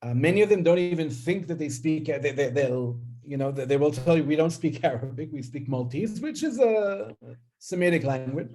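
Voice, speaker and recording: A man whose first language is Hebrew lectures on linguistics in a slightly raised, mellow voice. He hesitates constantly, stacking fillers and restarts. He keeps checking in with his listeners by asking "right?". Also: a little high, lively intonation, moderate pace. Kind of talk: academic lecture.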